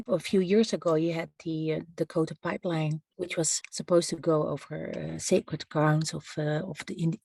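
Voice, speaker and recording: A woman in her fifties speaks in a low voice, answering interview questions with care. She pauses often and fills the gaps with small hesitations as she thinks. The sound is dry and close. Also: gravelly, a little mellow, breathy edge, no room sound. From Netherlands. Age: 50s. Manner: measured, thoughtful, with frequent hesitations. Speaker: human rights lawyer.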